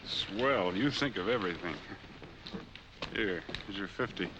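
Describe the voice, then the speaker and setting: A man's voice: fairly low pitch, animated, measured pace, background noise. An American man playing an urgent drifter in a 1940s film noir. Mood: brisk, grateful. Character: drifter posing as a heir, urgent, grateful. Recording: muffled 1940s film soundtrack with music.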